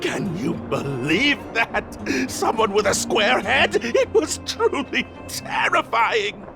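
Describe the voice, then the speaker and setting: Casting: jumpy game patrolman, high voice swinging wildly, melodramatic and halting, music underneath. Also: wildly swooping pitch, creaky, full character voice. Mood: frightened, dramatic. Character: frightened, flustered male night patrolman recounting a theft. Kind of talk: animated video game voice acting. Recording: music behind the voice.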